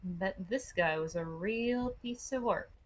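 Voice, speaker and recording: A woman's voice: medium pitch, slow, a little hiss or hum, lightly acted. A woman voicing a blunt, commanding adventurer in a visual novel read aloud, with a curt, sardonic, casual delivery. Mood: wry, probing.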